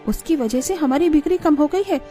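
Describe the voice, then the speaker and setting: A woman acts out a haughty, jealous rich businesswoman from a moral cartoon. The voice is high and sharp-tongued, full of scolding and sneering, with light music underneath. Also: brisk, lightly acted. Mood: bitter scheming. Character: spiteful, haughty rich woman restaurant owner, jealous and scheming. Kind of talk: Hindi moral cartoon voice acting.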